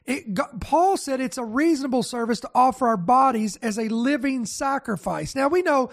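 A male pastor hosting a teaching broadcast, raspy and nasal with a head cold, talking casually and straight to his viewers. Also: very high-pitched, moderate pace. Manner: relaxed, speaks straight to viewers. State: congested from a virus.

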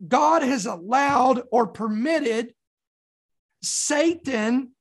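A preacher with a slightly raspy, mildly hoarse voice, giving an expository sermon and reading scripture with deliberate emphasis on key words. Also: sits high. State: mildly hoarse from sore throat.

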